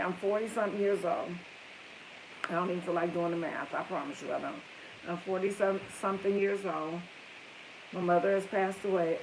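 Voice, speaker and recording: A woman's voice: lowish voice, noisy background. A woman in her fifties tells a story to her viewers in a chatty, rambling way, often breaking off to talk to her family. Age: 50s. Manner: chatty, wanders off topic, talks to viewers and family. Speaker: live streamer telling a story.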